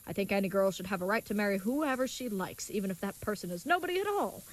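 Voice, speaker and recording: A woman's voice: mid-range voice, lively intonation, fast talker, close to an everyday voice, rough recording. A woman playing an earnest, naive, chivalrous young knight in an audio comedy, speaking with stilted, wordy politeness. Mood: earnest, musing. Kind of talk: scripted audio comedy acting.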